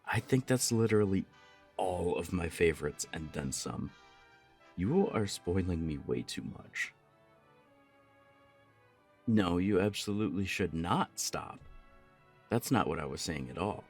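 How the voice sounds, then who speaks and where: A man's voice: deep voice, expressive, a little slow, pauses often, slightly rough, soft background music, heavily acted. A young man playing an easygoing, affectionate punk roommate in an intimate ASMR roleplay, chatting casually and teasingly. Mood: playful, amused. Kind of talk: intimate ASMR roleplay, one side of a conversation.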